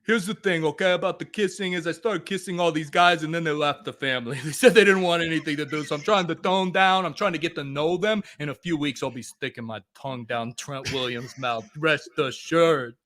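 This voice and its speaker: A loud, brash Italian-American mobster type, crude and boisterous, as a man puts him on in a jokey podcast bit. The voice sits high and sharp, pressing every line with bright, forceful energy. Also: fairly quick, hardly any pauses, natural voice. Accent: put-on Italian-American. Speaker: podcast co-host.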